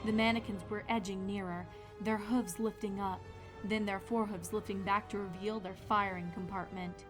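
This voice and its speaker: A woman's voice: mid-range voice, fairly even intonation, moderate pace. A woman reading scripted story narration as the narrator of a fan fiction. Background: music.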